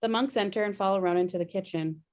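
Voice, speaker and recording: A woman's voice: medium pitch, fast, darkish tone. A woman reading screenplay stage directions as scripted narration on a video-call table read.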